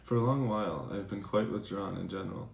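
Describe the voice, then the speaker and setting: A man playing a defensive, aggrieved professor in a dramatized letter reading, speaking in a formal, measured way. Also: on the low side, vocal fry. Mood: weary, aggrieved. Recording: roomy, echoing recording.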